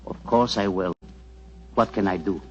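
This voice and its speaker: An actor playing a grave, loyal Indian royal physician with a solemn, formal, deliberate manner, in 1940s radio drama style. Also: male voice, sits low, close to an everyday voice. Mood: grieved, solemn. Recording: dull 1940s radio broadcast, music behind.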